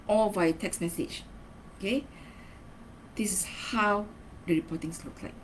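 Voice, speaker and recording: A woman's voice: a little high, slight background noise, poor audio. A woman giving a semi-scripted training lesson as a marketing trainer, instructional, stressing key words and checking in with short tag questions.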